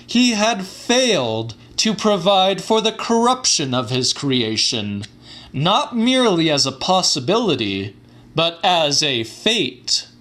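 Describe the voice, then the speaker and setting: A fervent male scientist holds forth to his audience in a horror audiobook, as acted by a male reader. His voice is fairly high and swings widely in pitch. He speaks very slowly, with formal, theatrical oratory. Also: very animated, a bit bright, heavily acted. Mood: lecturing, fervent. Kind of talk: dramatic audiobook monologue.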